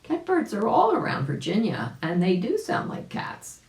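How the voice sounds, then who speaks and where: A woman reading a children's story aloud as a volunteer, unhurried and animated, with chatty asides. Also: mid-range voice. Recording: roomy, echoing sound.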